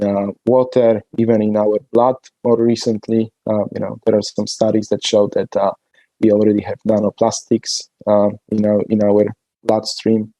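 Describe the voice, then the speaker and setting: Man, very dark mellow tone, dry close sound, halting with fillers. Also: on the low side, no room sound, rough recording. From Poland. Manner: hesitant, many fillers. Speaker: guest. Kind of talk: conversational podcast interview.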